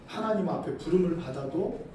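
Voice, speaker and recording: High-voiced Korean pastor in a booming hall. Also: male voice, expressive, brisk. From South Korea. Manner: rhetorical questions to the congregation, swings between loud emphatic and low quiet. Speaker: senior pastor. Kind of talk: sermon. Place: church sanctuary. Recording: echoey hall from far off, faint noise.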